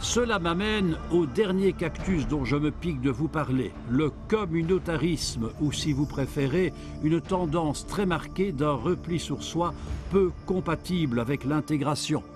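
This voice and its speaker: A man's voice: on the high side, animated, unhurried, over music. A man reading scripted investigative narration in a wry, ironic manner. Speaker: documentary reporter and narrator.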